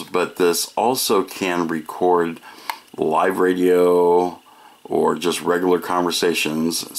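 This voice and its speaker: A very low-pitched American man walks through a product demo in a casual, matter-of-fact voice. His pace is slow and unhurried as he explains each feature. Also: gravelly. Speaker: product reviewer.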